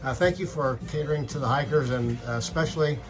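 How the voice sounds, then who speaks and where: An older man, a long-distance hiker, vlogging casually to camera outdoors, relaxed and halting with many uhs. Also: medium pitch. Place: outdoors in a small town. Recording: music laid under the voice.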